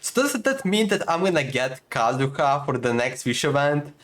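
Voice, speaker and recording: A man casually reacting to a game story, chatty and excitable. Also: on the high side, moderate pace. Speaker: gameplay streamer.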